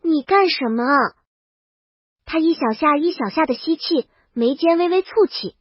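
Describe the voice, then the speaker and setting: High-pitched woman narrating a comic story. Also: expressive, moderate pace, no room sound, lo-fi sound. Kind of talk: first-person comic scripted narration, princess heroine.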